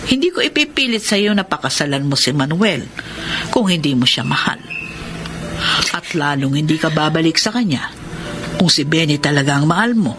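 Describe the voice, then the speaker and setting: A gentle, soothing mother in a radio drama, speaking in a low, deep register. Music plays clearly underneath her earnest advice. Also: sing-song, normal speed.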